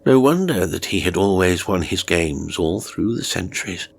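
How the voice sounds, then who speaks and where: A man narrating a horror story in a measured, suspenseful and theatrical way. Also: low voice, lively intonation, gravelly. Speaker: audiobook narrator.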